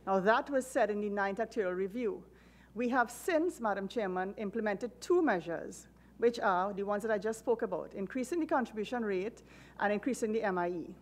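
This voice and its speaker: A woman's voice: mid-range voice, normal speed. Woman testifying emphatically. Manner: formal, emphatic, repeats key points. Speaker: national insurance official. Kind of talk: formal testimony to a committee. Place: parliamentary committee room.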